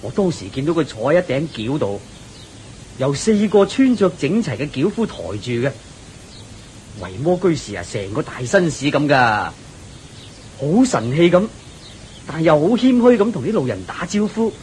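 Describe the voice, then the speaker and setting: An eager young Buddhist disciple in a radio drama, with a high voice that swings animatedly. He speaks in a Hong Kong Cantonese accent, deferential and easily awed, with music behind him. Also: normal speed, long pauses, slight background noise. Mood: eager, admiring. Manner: excitable, deferential, full of exclamations.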